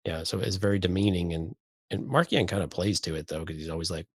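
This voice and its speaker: Male podcast co-host, deep and husky, dry studio-like sound, casual conversational commentary. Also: fast talker, no echo. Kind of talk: casual discussion commenting on an audio drama.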